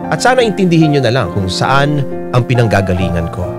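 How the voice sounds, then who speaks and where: A male actor plays an earnest man in his twenties telling his own love story for a radio drama. His voice is mid-pitched and moves expressively as he confides in the listener. Piano music plays clearly behind him. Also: big pitch swings, brisk, close to an everyday voice. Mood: earnest, confiding. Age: 20s. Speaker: narrator and letter sender.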